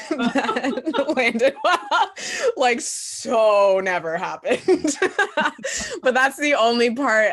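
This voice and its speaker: Slow-paced, giggly young woman. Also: medium pitch, a bit bright. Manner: candid, giggly, self-deprecating. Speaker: actor and playwright answering questions. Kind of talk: casual conversational Q&A.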